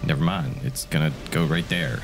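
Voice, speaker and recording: A man in his thirties giving casual, joking, excitable commentary on a video game, with plenty of swearing. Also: very deep voice, moderate pace, background noise. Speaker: gaming co-host, commentator.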